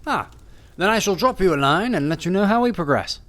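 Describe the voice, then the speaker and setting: A man voicing an aloof, analytical gentleman detective, musing and clipped, with nonchalant hesitations, for an audiobook. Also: high voice, lively intonation, a little fast, voice pushed up.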